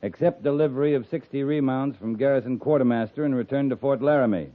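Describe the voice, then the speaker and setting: A man's voice: mid-range voice, fast, natural voice. Male actor as stern frontier cavalry captain, American, clipped, authoritative, mid-range voice, 1950s radio drama, narrow muffled sound. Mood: stern, impatient.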